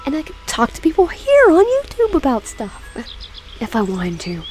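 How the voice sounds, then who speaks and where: A woman's voice: high-pitched, huge pitch swings, a little mellow, soft background music. A young adult woman reading a horror visual novel aloud, stumbling and restarting often, then chatting to her viewers. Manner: halting reading with many restarts, chatty asides. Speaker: gaming YouTuber.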